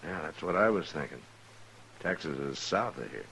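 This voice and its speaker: An actor playing a stern, controlled Old West marshal in an old-time radio western. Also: male voice, a bass voice, lively intonation, moderate pace, sharp tone, full character voice. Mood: measured. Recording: noisy vintage radio recording.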